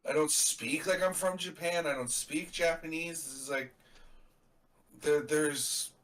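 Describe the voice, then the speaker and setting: A young man from Canada, blunt and animated, talking over a wrestling show on a live stream. His voice sits a little high and sounds bright and sharp. He is set back from the mic, so a bit of room comes through. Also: measured pace, recorded from a distance, low-quality recording. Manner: animated, blunt, swears casually. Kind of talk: casual live reaction stream chat.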